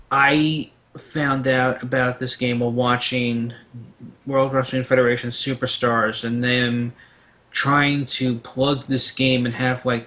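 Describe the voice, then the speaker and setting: A man's voice: mid-range voice, fairly bright tone. Man ranting casually in a panel chat.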